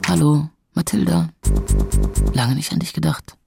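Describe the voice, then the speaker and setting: Dry, gravelly young male narrator. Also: on the high side, sing-song, measured pace, music in the background, exaggerated voice. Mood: wry, wistful. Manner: dry, laconic. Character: wry, gloomy young male club owner narrating his life. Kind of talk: first-person radio play narration.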